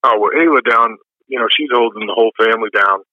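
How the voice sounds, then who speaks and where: A man with a low voice, giving easygoing, rambling interview answers full of fillers. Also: fast, no room sound. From New York. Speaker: musician guest. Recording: dull lo-fi dry sound.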